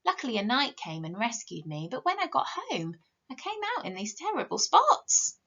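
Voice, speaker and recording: Lady doing a boastful bossy little princess for a storybook, swoopy high voice, kinda muffled audio. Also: huge pitch swings, normal speed, a bit bright, breathy edge, full character voice. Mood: boastful, brisk. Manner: breezy, emphatic, haughty. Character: boastful, bossy young princess girl telling tall tales.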